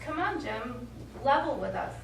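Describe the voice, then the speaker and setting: Lady in a play as astronaut crew member, direct, a bit high, echoey room, faint hiss. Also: big pitch swings, a little slow, creaky, full character voice. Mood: challenging. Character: direct female astronaut crewmate challenging a colleague. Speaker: stage actor in a short play. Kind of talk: live stage play dialogue. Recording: echoey hall, distant mic, faint noise.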